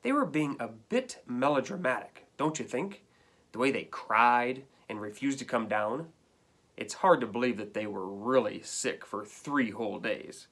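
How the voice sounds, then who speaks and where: A man's voice: mid-range voice, animated, unhurried, fairly bright tone, husky, heavily acted. A man reading a storybook as an indignant, put-upon pet dog, complaining in a dramatic, emphatic way. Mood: defensive. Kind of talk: storybook read-aloud.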